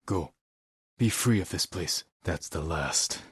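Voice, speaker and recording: Deep-voiced solemn samurai warrior. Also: male voice, lively intonation, slightly rough, no room sound, clean studio sound, heavily acted. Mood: grave, determined. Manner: measured, earnest, formal delivery. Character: solemn, resolute male samurai warrior sworn to justice. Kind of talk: dramatic video game voice acting.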